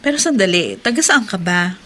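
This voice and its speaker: An actress with a Filipino accent playing a tired but kindly, frank housewife in a radio drama. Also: female voice, mid-range voice, expressive, fairly quick, a bit bright, slight background noise, natural voice. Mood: curious, kindly.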